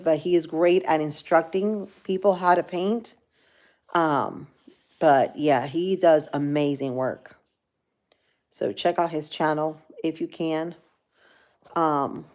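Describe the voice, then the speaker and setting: Relaxed Cuban-accented woman chatting live. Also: on the low side. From grew up in Florida. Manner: rambling, talks straight to viewers, many fillers. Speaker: livestream host. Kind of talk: casual livestream chat, reading viewer comments.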